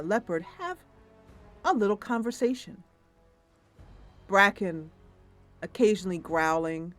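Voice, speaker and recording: Expressive woman narrating a roleplay. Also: lowish voice. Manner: expressive, descriptive. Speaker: game narrator, streamer. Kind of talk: improvised roleplay storytelling.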